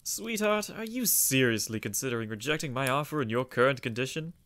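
A man playing a suave, sinister gentleman in a comic dub, theatrical and mockingly polite. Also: medium pitch, lively intonation, fairly quick, close to an everyday voice. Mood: gleeful, menacing. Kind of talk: comic dub character acting. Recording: voice over background music.

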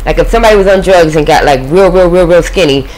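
A woman's voice: on the low side, quick pace, darkish tone, poor audio. A woman doing a casual tarot reading at a home table, chatting to the spirit and her viewers. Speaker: tarot reader.

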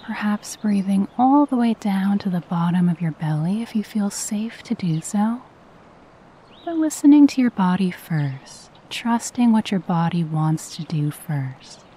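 A woman guiding a meditation in a soft, breathy voice, with a gentle, soothing and unhurried delivery. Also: mid-range voice, expressive, a little hiss or hum. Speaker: meditation guide. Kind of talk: guided meditation with spoken affirmations.

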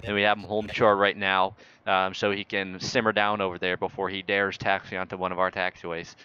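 A man's voice: low-pitched, little pitch movement, a little fast, bright, forceful tone. A man improvising as an air traffic controller in a flight simulator game, bossy, sarcastic and mock-authoritative. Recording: muffled voice chat with faint noise.

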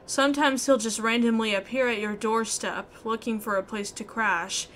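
A woman reading scripted story narration aloud in an expressive way. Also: a little high, moderate pace, a little hiss or hum. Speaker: video game player reading narration aloud. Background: music.

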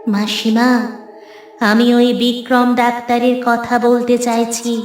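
Woman acting a high-pitched, pleading young ghost woman. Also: flat delivery, roomy sound. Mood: mournful, earnest. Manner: slow, beseeching delivery. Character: pleading young female ghost wronged in life. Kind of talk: Bengali horror-comedy cartoon voice acting. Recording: background music bed.

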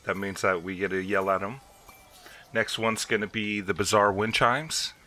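A man's voice: on the low side, steady tone, moderate pace, sharp tone, soft background music. A man hosting a video game walkthrough, explaining each step in an instructional way. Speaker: gameplay video host.

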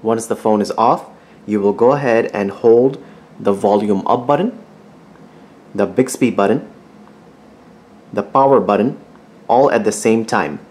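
A man's voice: lowish voice, measured pace, long pauses, darkish tone. A man giving step-by-step instructional narration as a tech tutorial presenter, in a plain, methodical, informal way. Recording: clearly audible background noise.

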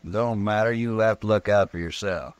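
Man playing a defiant, low-voiced drawling cowboy. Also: normal speed, clear, resonant tone, no echo, dull audio, lightly acted. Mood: blunt, defiant. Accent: American cowboy drawl. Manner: blunt, folksy, combative. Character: defiant, threatening Texas cowboy of the Old West. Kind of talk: old-time American radio western acting.

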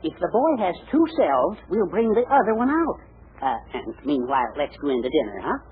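A mild, henpecked husband in a 1940s radio comedy. He speaks hesitantly, with an animated rise and fall in pitch. The recording is an old muffled broadcast with faint hiss. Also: brisk. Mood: pleading.

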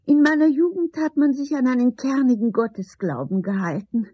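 A woman's voice: sits high, lively intonation, normal speed, husky, dry recording. An actress playing a querulous, nostalgic woman of eighty in a radio play. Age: 80s in the role. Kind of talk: 1970s German radio play acting. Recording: dull, lo-fi old radio recording.

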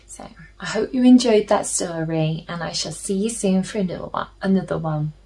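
A woman in her thirties reading a picture book aloud to children in a gentle, deliberate manner, with chatty asides. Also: on the low side, gravelly. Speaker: children's story reader. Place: small plain room indoors.

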